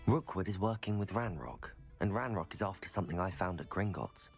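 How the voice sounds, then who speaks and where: A woman playing a calm, caring young British student witch in a video game, polite and measured. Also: extremely low pitch. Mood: calm, sympathetic. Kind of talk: video game character dialogue. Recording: music behind the voice.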